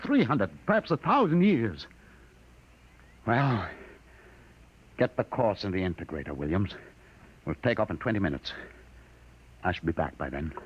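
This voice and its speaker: A grave, compassionate captain of a spaceship in a vintage radio play. His voice is very low and a little husky, with strongly varied intonation. His delivery is measured and earnest, on a muffled 1950s recording. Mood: resolute. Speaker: radio drama actor. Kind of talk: 1950s radio drama acting.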